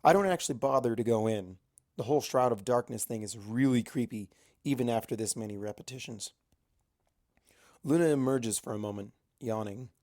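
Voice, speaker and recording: A man's voice: medium pitch, a little fast, darkish tone. A man reading a first-person adventure story aloud as an amateur audiobook narrator.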